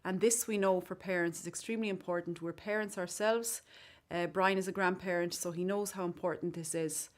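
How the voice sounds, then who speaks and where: A woman's voice: on the low side, steady tone, a little fast, soft background music. A woman speaking for an exchange program in an upbeat promotional voice-over. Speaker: program representative.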